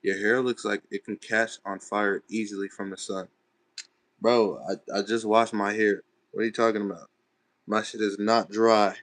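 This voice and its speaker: A man's voice: fairly low pitch, little pitch movement, normal speed, a bit bright. Laid-back young man chatting on a livestream. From Texas. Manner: laid-back, chatty, loose slangy phrasing. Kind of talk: casual livestream chat reading comments. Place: bedroom at home.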